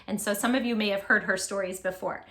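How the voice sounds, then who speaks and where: A woman in her forties reading a picture book aloud at home, sing-song and animated, asking the listener questions. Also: medium pitch, fast, roomy sound. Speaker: children's book reader. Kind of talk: read-aloud with tips for parents. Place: home room with posters.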